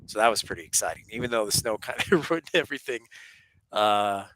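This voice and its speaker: Bright-voiced man with a head cold. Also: on the low side, animated, fairly quick, slight background noise, poor audio. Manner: chatty, welcoming viewers. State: congested with a head cold, sore throat. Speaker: livestream host, aviation spotter. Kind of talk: casual live stream commentary and chat. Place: live at an airport.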